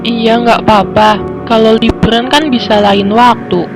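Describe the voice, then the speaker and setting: A woman voicing a composed older lady of the house in a cartoon, speaking calmly. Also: fairly high pitch, a little slow, loud music behind the voice.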